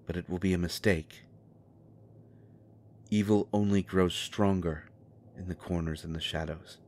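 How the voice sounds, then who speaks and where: A male actor plays a low-voiced, grandiose oddball who insists he is human. He speaks in theatrical, halting pronouncements like a cryptic prophet, suited to an urban fantasy audio drama. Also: darkish tone.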